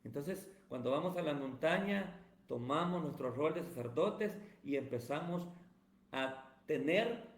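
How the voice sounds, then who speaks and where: A man talks in a high, ringing voice. He answers like a pastor in the pulpit, building emphatic runs of phrases. The room around him is roomy and echoey. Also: lively intonation, measured pace. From Guatemala. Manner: emphatic, sermon-like cadence. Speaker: pastor and evangelist, guest. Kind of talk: preaching-style interview answers. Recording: roomy echo.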